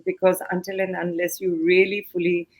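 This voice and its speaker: A woman from Canada, a chief executive on a panel, speaking haltingly with many fillers. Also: fairly low pitch, level tone. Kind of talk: panel discussion.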